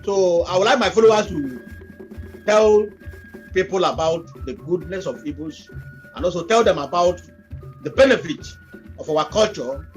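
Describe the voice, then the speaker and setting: High-voiced man, earnest. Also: animated, moderate pace, long pauses, poor audio. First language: Igbo. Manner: earnest, repetitive exhortations. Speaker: interview guest. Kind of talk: remote interview. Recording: music behind.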